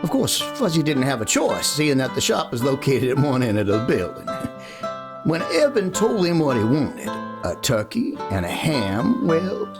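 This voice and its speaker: An actor plays a folksy cowboy storyteller in a Western radio drama. His animated, rising and falling delivery is full of homespun asides, with guitar clearly behind it. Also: medium pitch, normal speed. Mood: lively, amused. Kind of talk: old-time radio storytelling. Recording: guitar music playing behind.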